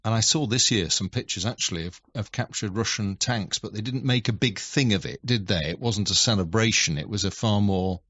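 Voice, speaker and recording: Low-voiced male radio interviewer, dry sound. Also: fairly quick, hardly any pauses, a bit bright, no echo, dull audio. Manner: chatty, sympathetic. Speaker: radio presenter. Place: radio studio.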